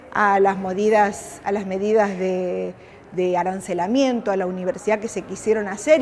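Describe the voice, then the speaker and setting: A woman with an Argentine accent speaks off the cuff in the manner of a university academic. Her pitch is mid-range and her delivery halting, with repeated words. Faint background noise runs under the recording. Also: a little mellow. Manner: halting, repeating words while searching for phrasing. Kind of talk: unscripted spoken reflection on camera.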